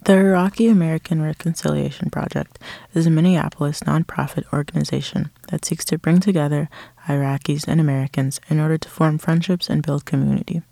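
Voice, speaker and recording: A man's voice: a little high, steady tone, fairly quick, vocal fry, dark tone, no echo. A man from Nigeria reading a scripted college news story, moving into a reflective first-person account. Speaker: student reporter.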